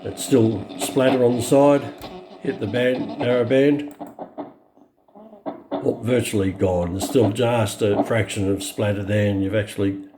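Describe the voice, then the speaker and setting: An Australian man giving an unscripted hands-on product demonstration, explaining things in a halting, matter-of-fact way into a camera mic. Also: lowish voice, fairly even intonation, background noise. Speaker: radio collector presenting a review.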